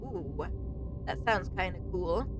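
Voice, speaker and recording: A woman giving casual, expressive let's-play commentary as a gaming streamer, informal and prone to swearing. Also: fairly low pitch, slow, background noise.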